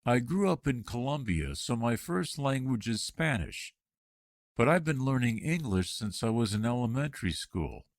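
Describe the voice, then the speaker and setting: A man playing a job candidate in a scripted practice interview for English learners, reading clearly. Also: mid-range voice, big pitch swings, moderate pace, no echo, clean studio sound.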